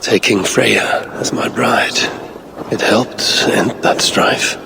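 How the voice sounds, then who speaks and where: Old Norse god-king, gravelly creak, stern and weary, grave terse delivery, video game drama, noisy ambience. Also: lowish voice, fairly even intonation, slow pace. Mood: somber reminiscence.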